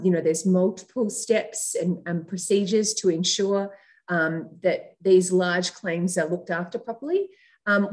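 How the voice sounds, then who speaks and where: A woman's voice: fairly low pitch, darkish tone. A woman with an Australian accent, a company founder speaking conversationally as an interview guest.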